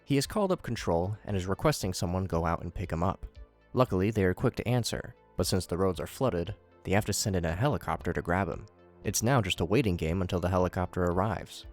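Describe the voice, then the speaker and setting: A man with a low voice narrates a scripted recap and adds his own commentary. He slips in wry, jokey asides as he goes, and music plays clearly behind him. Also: expressive, quick pace.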